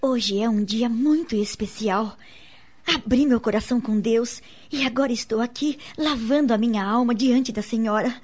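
A woman's voice: high-pitched, darkish tone, slightly rough. A woman with a Brazilian accent playing an anxious, insecure wife in a radio soap opera, earnest and formal in her melodramatic delivery. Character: anxious, insecure young wife and mother, pleading. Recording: dull lo-fi radio drama, music underneath.

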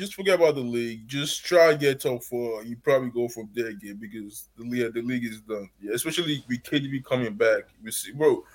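Male panelist, middle pitch, blunt casual delivery. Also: poor audio. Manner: blunt, casual slang, laughs mid-sentence. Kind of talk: casual football chat.

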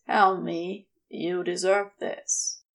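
A woman voicing a quietly commanding but tender male lover in an audiobook, speaking in an intimate, coaxing way. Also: fairly low pitch, level tone, drawn-out words, voice pushed down, full character voice.

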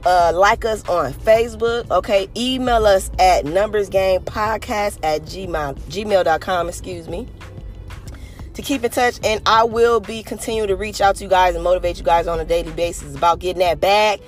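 Hoarse Californian podcast host, mid-pitched, earnest and rambling over soft background music. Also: moderate pace. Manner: earnest, rambling, many "you know" fillers. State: hoarse, a little raspy. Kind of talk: motivational podcast monologue.

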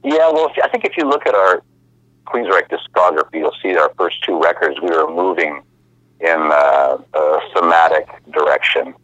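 Deep-voiced man in his fifties on a phone line. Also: no echo. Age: 50s. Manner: relaxed, halting with many fillers, light laughs. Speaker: musician guest. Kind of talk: casual interview over the phone.

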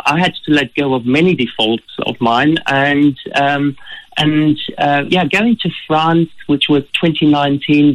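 A man's voice: fairly high pitch, fairly bright tone, rough recording. A man from Germany with a German accent, a guest in an interview, answering earnestly and often repeating words as he thinks. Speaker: guest, ultra runner.

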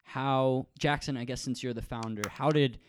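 A man's voice: medium pitch, steady tone, normal speed, a little hiss or hum. A young adult man hosting a podcast, asking inquisitive, wordy questions in a conversational style. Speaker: podcast host. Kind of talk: conversational interviewing.

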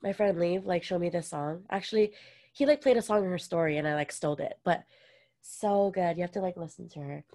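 A woman's voice: on the low side, fairly quick, warm tone. Chatty young woman, lots of "like". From Hawaii. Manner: chatty, says "like" a lot, laughs often. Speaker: podcast co-host. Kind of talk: casual chat.

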